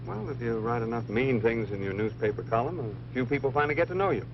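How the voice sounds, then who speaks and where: A man's voice: mid-range voice, expressive, quick pace, natural voice. An actor playing a self-assured, wisecracking newspaperman with an American accent in a 1940s comedy. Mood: breezy. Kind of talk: 1940s comedy film acting. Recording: muffled, noisy vintage film soundtrack.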